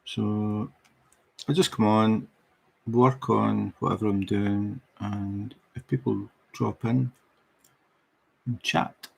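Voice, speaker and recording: A man's voice: on the low side, creaky, breathy edge, low-quality recording. A middle-aged man from Scotland with a Scottish accent, chatting casually with viewers on a crafting livestream. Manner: relaxed, unhurried, chatty with viewers. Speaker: diamond painting livestream host.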